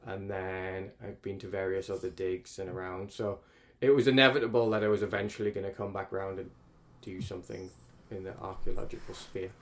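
A man's voice: low-pitched, fairly even intonation, brisk. A man, talking casually as an interview guest in a rambling, self-correcting way. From northeast England. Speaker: climate researcher guest.

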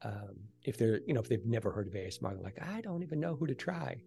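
A man talks as a guest in a conversational podcast interview. His voice has a noticeable creak and sits at an average pitch, with clear music playing alongside him. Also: big pitch swings, fast talker, dark tone. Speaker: podcast guest, ASMR creator and author.

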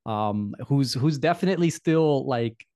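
A man's voice: mid-range voice, lively intonation, a little slow, darkish tone. A man hosting a podcast, introducing his guest in a friendly, rambling way with repeated words. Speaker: podcast host. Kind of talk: casual interview.

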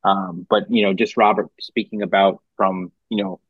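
A man co-hosting a podcast in casual, halting chat with many fillers, on slightly dull audio. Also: sits low, level tone, no echo. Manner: halting, frequent "you know". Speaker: podcast co-host. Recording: dull, band-limited audio.

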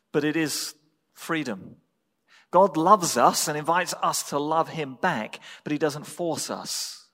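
English pastor preaching in a reverberant hall. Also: male voice, a little high, a bit bright. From England. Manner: measured, deliberate short phrases with pauses. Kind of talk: sermon.

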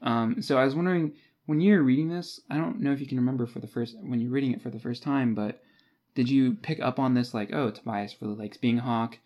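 A man's voice: mid-range voice, brisk, muffled recording. A man co-hosting a podcast, discussing a book in a casual, conversational way. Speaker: podcast co-host.

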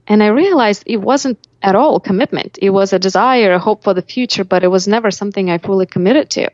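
A woman's voice: medium pitch, fairly quick, a little mellow, dry recording, highs cut off. A woman with a Russian accent telling reflective personal stories as an interview guest, often voicing her own thoughts aloud. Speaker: life coach, podcast guest.

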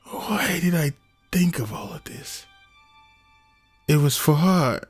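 Audiobook role: anguished man, volatile with grief, quick to rage, raised pitch, music behind , voiced by a male narrator. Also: no room sound. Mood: despairing. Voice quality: gruff when dejected. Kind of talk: dramatic audiobook character acting.

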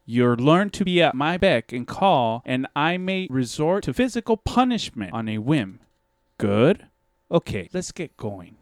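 A man playing a smug, polite martial arts master with a deliberate, deadpan, domineering manner in a comedy audio drama. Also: on the high side, no room sound, studio quality. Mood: casually domineering.

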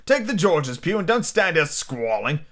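A man voicing rough, excitable pirate henchmen who call out loudly and grumble at their leader in an adventure storybook. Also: fairly high pitch, normal speed. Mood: grumbling, nervous. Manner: calling out loudly.

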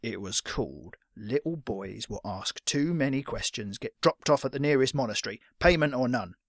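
A man voicing a gruff old retired fighter in a storybook, with a curt, menacing manner. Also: mid-range voice, lively intonation, moderate pace, no echo, studio quality. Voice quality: rough.

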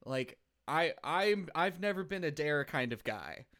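A man's voice: on the high side, big pitch swings, unhurried, fairly bright tone. A man co-hosting a comedy podcast, talking casually in a playful, joking and somewhat hesitant way. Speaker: podcast co-host.

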